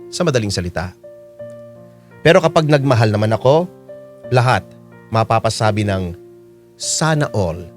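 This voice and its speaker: A man's voice: mid-range voice, lightly acted. An actor playing a roguish retired taxi driver in his sixties, narrating his own story in a radio drama. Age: 60s.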